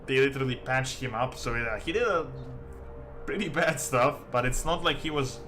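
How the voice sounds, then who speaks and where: A man from Serbia, chatting casually to viewers as a game streamer in a halting, rambling way peppered with "like". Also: mid-range voice. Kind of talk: casual livestream chat with viewers. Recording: music behind the voice. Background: music.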